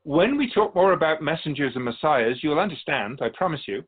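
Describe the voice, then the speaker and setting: A man playing an otherworldly keeper of souls in a radio drama, speaking in a measured, formal, philosophical way with patient wisdom. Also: a little high, lively intonation. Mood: probing, earnest. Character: otherworldly male keeper of souls, wise, patient, solemn messenger. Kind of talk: radio drama interview acting. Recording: dull lo-fi audio.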